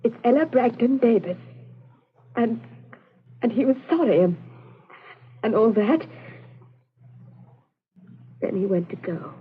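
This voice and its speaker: An actress playing a frightened, distraught daughter who speaks in halting, pleading repetitions in a 1940s radio drama. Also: female voice, on the high side, lively intonation, breathy edge, exaggerated voice. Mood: halting, tearful. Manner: pleading, halting, repeats herself. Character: distraught, frightened daughter confessing to her stern father. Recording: noisy, tinny 1940s radio broadcast.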